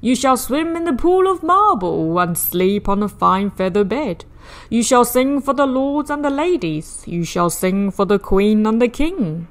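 A woman's voice: a little high, sing-song, measured pace. A woman voicing a sly, greedy old male circus owner in a storybook, grandiose and bossy. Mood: grand, tempting.

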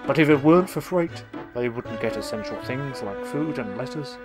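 A man voicing a big, gentle, sensible male engine who speaks in a calm, mild way. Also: mid-range voice, normal speed, music in the background, close to an everyday voice.

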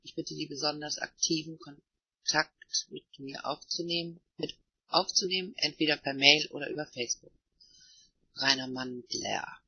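A man's voice: fairly high pitch, steady tone, moderate pace, breathy edge, dry recording. Guy running an online chat meeting, reads messages out plainly, muffled sound. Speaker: meeting moderator. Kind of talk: moderating an online voice chat, reading messages aloud.

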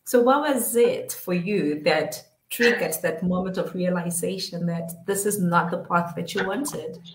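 A woman in her forties hosting a live online interview, greeting viewers with upbeat, drawn-out welcomes over a webcam. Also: lowish voice, a little hiss or hum. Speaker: host. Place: small room at home. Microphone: webcam on a video call. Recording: echoey room.

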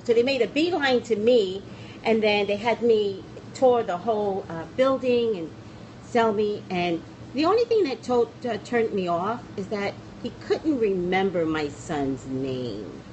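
A woman's voice: mid-range voice, darkish tone, a little hiss or hum, rough recording. Chatty woman rambling on a phone stream. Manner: rambling and chatty, often trailing off and restarting. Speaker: psychic livestream host. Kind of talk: casual livestream chat. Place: small indoor room against a plain wall. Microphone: phone camera at arm's length.

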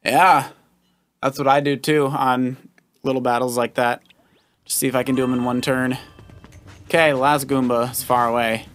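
A man's voice: medium pitch, fairly even intonation, normal speed, pauses often. A middle-aged man giving casual, encouraging gameplay commentary like a coach at the player's side. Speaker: dad co-hosting a Let's Play. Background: loud video game music.

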